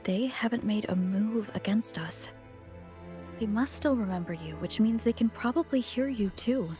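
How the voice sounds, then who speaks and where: A woman playing a gentle young adventurer in a video game, speaking softly and earnestly to comfort someone. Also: mid-range voice, moderate pace, lightly acted. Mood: gently reassuring. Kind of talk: English video game voice acting. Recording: game audio with music behind. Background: background music.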